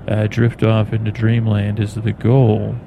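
An American man hosting a bedtime podcast, rambling in a halting, meandering, soothing way. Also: on the low side, narrow, tinny audio. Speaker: podcast host. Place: aboard an airplane in flight. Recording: clear engine and cabin noise. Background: steady plane noise.

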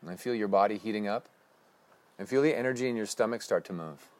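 A man leading a guided breathing meditation with slow, calm, instructive cues. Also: lowish voice.

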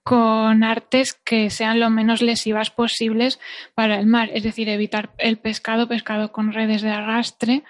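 High-voiced Spanish woman, plain-spoken. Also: flat delivery, measured pace. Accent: Spanish from Spain. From Spain. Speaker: dietitian-nutritionist, podcast guest. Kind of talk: conversational expert explanation.

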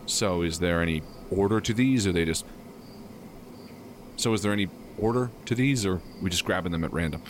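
A man's voice: low-pitched, brisk, a little hiss or hum, lightly acted. A man acting in an audio drama as a tired, dry, sarcastic friend just off work, speaking naturalistically. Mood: curious.

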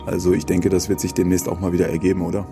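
A man co-hosting a podcast in casual, halting chat over a remote connection. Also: low voice, monotone, over music. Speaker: podcast co-host. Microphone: remote connection, not in studio.

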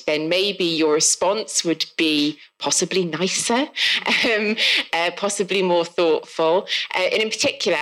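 Chatty Welsh woman, low voice. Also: a bit bright, poor audio. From South Wales. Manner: self-deprecating, chatty, laughs often. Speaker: guest author. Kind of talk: live podcast chat.

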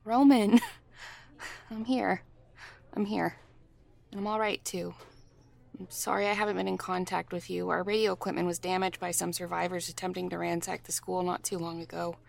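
A woman's voice: lowish voice, steady tone, normal speed, creaky, long pauses, darkish tone. An actress playing a resilient, reassuring mother in a horror audio drama, speaking in a casual, apologetic way. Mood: tender, relieved. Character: reassuring, resilient mother reunited with her partner.

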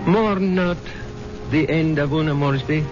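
A man's voice: on the high side, moderate pace, natural voice. An actor playing a grave mystical holy man, intoning a eulogy like an incantation in a 1970s radio drama. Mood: solemn, prophetic. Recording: muffled vintage radio broadcast, music behind.

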